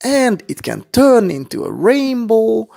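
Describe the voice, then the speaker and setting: A man's voice: very high voice, big pitch swings, measured pace, vocal fry, darkish tone, dry recording, studio quality, voice pushed up, heavily acted. A man voicing a friendly sorcerer in a children's storybook, gratefully promising magical gifts. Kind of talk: children's storybook character reading.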